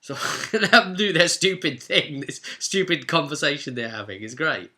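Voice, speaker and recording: A man giving an animated, chatty, informal recap of a role-play session as game master. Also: fairly high pitch, normal speed. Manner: chatty, acts out players' exchanges.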